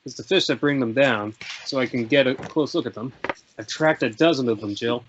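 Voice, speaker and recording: Guy reading as a shy earnest outdoorsy loner, mid-pitched voice, dull audio with a bit of hiss and clicks. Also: brisk, natural voice. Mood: apologetic, earnest. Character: reclusive nature-loving man, self-effacing and tender. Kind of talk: script table read, drama.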